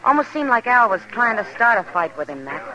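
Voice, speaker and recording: Frontier saloon gal, direct and familiar, lively pitch swings, brisk delivery, old radio western. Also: female voice, lo-fi sound. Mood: guarded, frank. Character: frank, worldly saloon woman of the Old West. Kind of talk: 1950s radio western acting.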